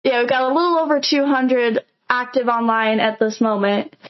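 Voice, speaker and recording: A woman's voice: a little high, fairly even intonation, normal speed. A young adult woman from Nebraska, answering interview questions in a chatty way with lots of fillers and restarts. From Nebraska, USA. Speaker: podcast guest. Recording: dull lo-fi audio.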